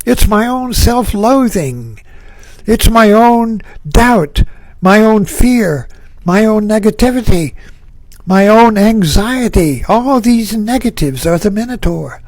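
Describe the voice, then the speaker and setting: A man giving a slow, reflective spiritual talk, musing aloud and repeating phrases for emphasis. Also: sits high, animated, a little mellow, no room sound. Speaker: spiritual speaker. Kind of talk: reflective spoken talk on myth.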